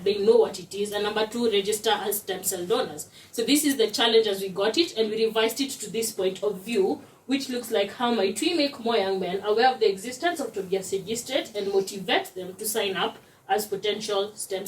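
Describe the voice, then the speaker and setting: A young woman from Kenya, explaining a student project to an audience in a steady way. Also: medium pitch, a little hiss or hum. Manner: explanatory, steady, uses "basically" as a filler. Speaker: student presenter. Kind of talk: presentation. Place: lecture room. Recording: roomy echo, distant mic.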